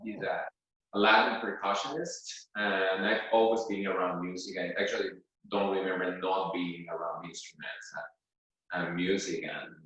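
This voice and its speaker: Man from Venezuela, home video call, hesitant with fillers, low voice, heavy echo. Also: fairly bright tone, far from the mic. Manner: hesitant, many fillers and restarts. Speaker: principal flute player, guest. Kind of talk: casual interview answers. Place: his home.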